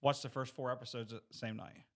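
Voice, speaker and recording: A man's voice: medium pitch, steady tone, a little fast. A man chatting casually as a panelist, telling things informally and acting out bits of remembered dialogue. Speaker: podcast panelist. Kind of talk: casual anecdotal chat.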